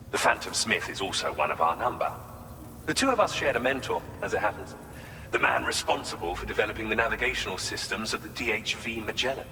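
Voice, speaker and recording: Courteous male scientist, eager, formal and articulate, lively swooping intonation, video game dialogue, long reverb, noisy background. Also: mid-range voice. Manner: formal, articulate, erudite phrasing. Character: courteous, eager male vehicle and weapons researcher. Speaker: researcher character.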